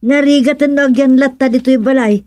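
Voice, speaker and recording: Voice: sits high, steady tone, brisk, a little mellow, no echo, lightly acted. A performer playing a matter-of-fact, complaining mother in an Ilocano radio comedy, with an Ilocano accent. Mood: surprised, approving. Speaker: actor in radio comedy drama. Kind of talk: Filipino radio drama comedy acting.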